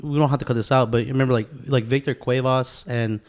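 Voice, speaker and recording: A male podcast co-host in casual conversation. He talks in a loose, rambling, informal way, peppered with buddy-style slang, at a middling pitch for a man. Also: fairly even intonation, fast talker.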